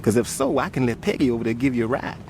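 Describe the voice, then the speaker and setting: An actor playing a slick, chatty small-time American pimp, hustling in familiar slang for a video game cutscene. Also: male voice, mid-range voice, racing, darkish tone, a little hiss or hum, close to an everyday voice. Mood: chummy, hustling.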